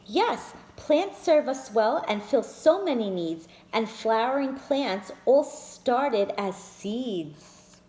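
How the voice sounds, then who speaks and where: A woman's voice: a little high, animated, slow, hardly any pauses, a little mellow, slight background noise. A woman reading a picture book aloud to young children, stopping to point out the pictures and calling her listeners friends. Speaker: children's storyteller. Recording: echoey room.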